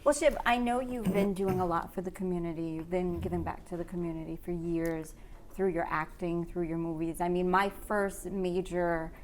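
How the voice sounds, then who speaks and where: A woman's voice: fairly low pitch, steady tone, normal speed, darkish tone, slight background noise. A woman hosting a talk show, speaking conversationally in an encouraging, supportive way. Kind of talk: conversational interview.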